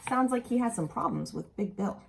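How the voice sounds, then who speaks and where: A woman's voice: on the high side, gravelly, breathy edge. A woman reading a children's picture book aloud expressively, with casual asides and questions for young listeners. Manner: animated, deliberate, asks the listeners questions.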